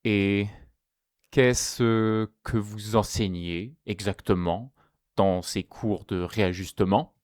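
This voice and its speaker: A man playing a stern, guarded school headmaster in an amateur webtoon dub, speaking in a measured, formal way. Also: on the low side, steady tone, darkish tone, dry recording, studio quality. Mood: probing.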